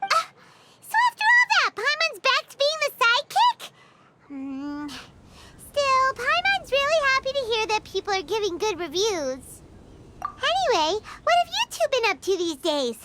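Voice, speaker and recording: A woman voicing a small, cheerful, chatty childlike fairy companion in an animated video game style. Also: very high-pitched, measured pace, heavy background noise, exaggerated voice. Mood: surprised, curious. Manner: speaks of self in third person. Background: music.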